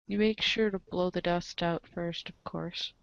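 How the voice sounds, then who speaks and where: A woman's voice: lowish voice, fairly even intonation, measured pace, vocal fry, no room sound, lo-fi sound. A woman reading a comic aloud as the show's narrator, theatrical and playful, slipping into casual asides. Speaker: narrator of a comic read-along show.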